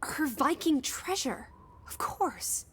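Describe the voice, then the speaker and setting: A woman's voice: sits high, very animated, takes it slowly, fairly bright tone, full character voice. An actress playing a bold, imaginative young female companion in a video game, with a playful, teasing, theatrical delivery. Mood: curious, earnest. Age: young adult. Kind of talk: dramatic video game dialogue.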